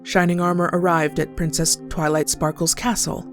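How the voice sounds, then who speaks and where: A woman's voice: on the low side, flat delivery, moderate pace. A woman narrating a scripted horror story as a storyteller. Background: clear background music.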